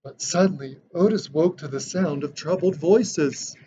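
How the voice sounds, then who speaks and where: A man in his thirties reading a children's picture book aloud with expressive, dramatic storytelling. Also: a little high, a little slow. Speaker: storybook reader. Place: indoor decorated room. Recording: dull lo-fi sound with background noise.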